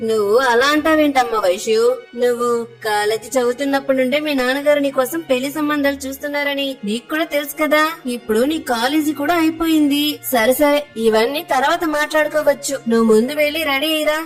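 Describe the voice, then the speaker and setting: A woman's voice: high voice, fast, faint music behind, close to an everyday voice. A woman playing a caring, coaxing middle-aged mother in a cartoon story. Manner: coaxing, affectionate.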